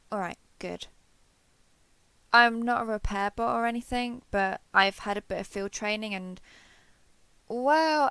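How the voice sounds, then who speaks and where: A woman playing a giant friendly alien robot soldier in an audio roleplay, gentle, reassuring and a little awkward with the listener. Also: mid-range voice, creaky, no room sound. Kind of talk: scripted roleplay audio drama addressed to listener.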